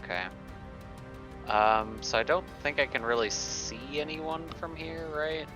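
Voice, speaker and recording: A man's voice: on the high side, unhurried, music in the background. A man playing in a tabletop game, casually thinking his moves through aloud. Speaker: player.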